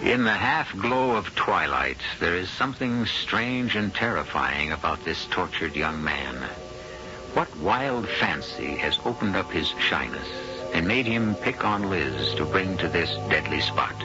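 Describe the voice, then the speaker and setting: A man hosting and narrating a 1970s radio mystery, ominous, deliberate and theatrical. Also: deep voice, expressive. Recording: tinny, narrow old radio broadcast. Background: music.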